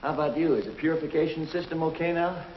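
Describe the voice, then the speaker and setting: Actor as a calm confident space pilot, plain matter-of-fact delivery, roomy muffled old TV sound. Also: male voice, a little high, fast, slight background noise, close to an everyday voice. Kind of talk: 1950s TV drama acting. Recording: dull roomy 1950s TV soundtrack.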